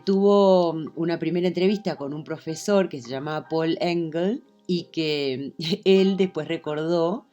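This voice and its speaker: A woman's voice: low-pitched, a little mellow. A woman teaching a literature class, speaking hesitantly with many fillers and restarts. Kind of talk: online lecture.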